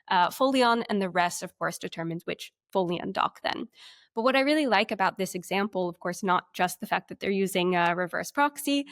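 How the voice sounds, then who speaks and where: A woman's voice: medium pitch, no room sound, clean studio sound. A woman co-presenting a webinar, talking through a shared screen in a conversational, explanatory way.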